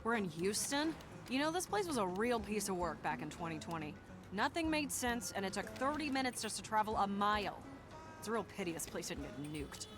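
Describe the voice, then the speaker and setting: A woman voicing a brash, hot-tempered, sardonic female robot character in a video game cutscene, blunt and expressive. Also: mid-range voice, fairly quick, music in the background, natural voice. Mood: indignant.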